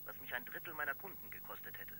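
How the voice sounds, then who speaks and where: Sharp-voiced, formal pharmacist. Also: male voice, mid-range voice, expressive, slight background noise. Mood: indignant. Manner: formal, deliberate, aggrieved. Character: indignant, formal male pharmacist with a doctorate. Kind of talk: German radio crime drama acting.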